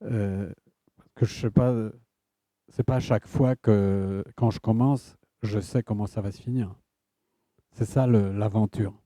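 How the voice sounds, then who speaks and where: A man with a Polish accent, an author answering audience questions in a halting way, often stopping to search for words. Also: lowish voice, fairly even intonation, warm tone, no echo. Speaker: guest author.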